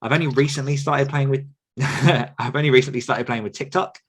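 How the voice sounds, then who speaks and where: A man's voice: mid-range voice, fairly even intonation, brisk. A man in his thirties, a podcast host, talking candidly and casually about his work, with frequent laughs. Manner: candid, chatty, laughs often. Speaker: podcast host and author coach.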